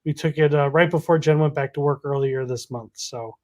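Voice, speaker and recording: A man's voice: fairly high pitch, steady tone, a little fast, a little mellow. A man, hosting a casual chat and teasing his co-host. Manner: easygoing, teasing.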